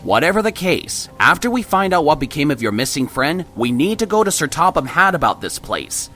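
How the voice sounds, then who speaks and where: A man's voice: fairly high pitch, big pitch swings, fairly quick, bright, forceful tone, soft background music. A man playing an earnest, caring male fire engine who comes to the rescue in an audio drama. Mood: reassuring, serious. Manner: earnest, concerned. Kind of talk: amateur audio drama acting.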